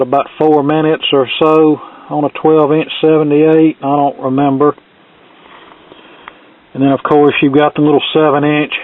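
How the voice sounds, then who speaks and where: A man's voice: a little high, no echo. A man talking casually through a hands-on hobby project in a folksy, plain-spoken way, like someone showing his work at the bench. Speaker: hobbyist presenting a repair project. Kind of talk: casual hands-on tutorial talk. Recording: thin, phone-like band-limited sound.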